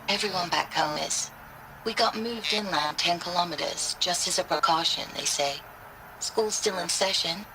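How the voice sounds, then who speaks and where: A woman's voice: on the low side, lightly acted. Actress as an earnest, loving woman over background noise. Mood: proud, tender. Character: anxious, loving woman writing to her soldier partner. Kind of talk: dramatic voice-message monologue.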